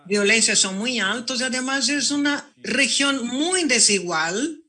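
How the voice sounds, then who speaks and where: A man interpreting a panel moderator's words as they are spoken, halting and correcting himself as he goes. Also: very high voice, animated, bright, forceful tone. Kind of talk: simultaneous interpretation.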